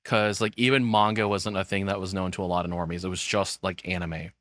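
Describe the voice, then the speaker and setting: A man's voice: low-pitched, little pitch movement, brisk, a bit bright, no room sound. A man in his thirties giving casual, rambling reaction commentary as the host, swearing freely. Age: 30s.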